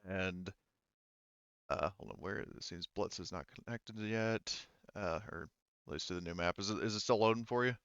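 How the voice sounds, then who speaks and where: Male game master, smooth clear tone, informal stop-start narration, close dry online-call mic with slightly dull sound. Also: low-pitched, a bit bright, dry recording. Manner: halting, self-correcting, informal. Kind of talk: improvised narration of a tabletop game session.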